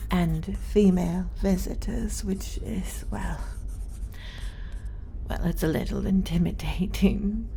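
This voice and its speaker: Woman playing shy nerdy lady scientist, asmr sci-fi roleplay, hushed whispery mellow voice w vocal fry, hesitant rambly explaining. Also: on the low side, a little hiss or hum. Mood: earnest, hesitant, then flustered. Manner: hesitant, soft-spoken, often whispery, academic explaining. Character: earnest, bookish woman xenobiologist, shy, rambling, flustered.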